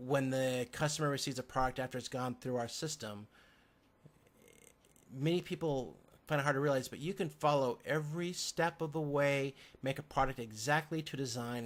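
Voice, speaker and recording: Man giving a halting slide lecture. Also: medium pitch.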